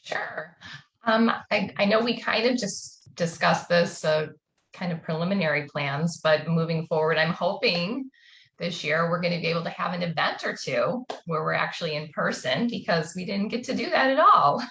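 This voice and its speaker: A woman's voice: fairly low pitch, expressive, normal speed, fairly bright tone, reverberant, a little hiss or hum. A woman on a committee panel talking in an upbeat, chatty way with frequent fillers. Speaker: committee member. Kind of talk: panel discussion.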